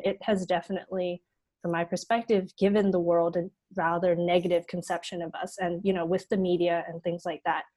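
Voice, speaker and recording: Earnest teenage girl, slightly low voice. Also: a little mellow. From Hong Kong. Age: teens. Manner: earnest, many fillers like "you know". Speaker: youth fund founder, guest. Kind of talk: interview answers.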